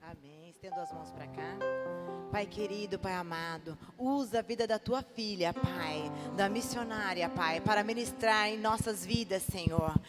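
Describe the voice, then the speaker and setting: A woman's voice: mid-range voice, normal speed. A woman with a Brazilian Portuguese accent leading a fervent church prayer as a pastor, with repeated invocations. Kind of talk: congregational prayer. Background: prominent music.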